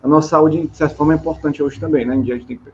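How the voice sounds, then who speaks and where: A man's voice: fairly high pitch, steady tone, fast, a little mellow. Middle-aged Brazilian man, dull noisy recording. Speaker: interview host. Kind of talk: casual rambling chat. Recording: dull, lo-fi, clear background noise.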